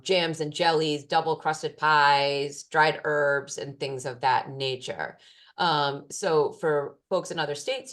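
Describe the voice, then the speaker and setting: Low-voiced woman answering class questions. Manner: casual, many fillers. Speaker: food safety extension specialist.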